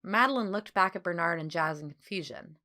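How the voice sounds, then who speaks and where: A woman reading a children's story as an audiobook narrator, with clear phrasing and expressive delivery. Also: fairly low pitch, fairly quick.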